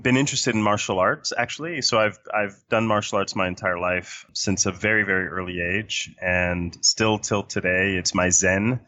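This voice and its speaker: A man from Puerto Rico answering interview questions in a measured way, with many fillers. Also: sits low, bright, forceful tone, muffled recording. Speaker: guest.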